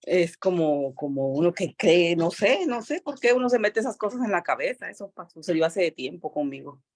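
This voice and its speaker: A woman's voice: lowish voice, big pitch swings, moderate pace, a little mellow. A woman co-hosting a Bible study, talking casually and conversationally about her own experience. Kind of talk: casual personal testimony.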